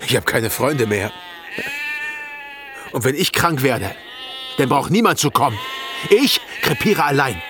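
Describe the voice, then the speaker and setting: An actor playing a proud, hurt and stubborn shepherd in a radio drama, speaking curtly and defiantly. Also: male voice, mid-range voice, lively intonation, a little slow, long pauses, background noise, close to an everyday voice. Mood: stubborn, bitter. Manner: curt, defiant, blunt. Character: proud, stubborn, hurt shepherd rejecting his old friend. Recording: outdoor scene with bleating sheep behind.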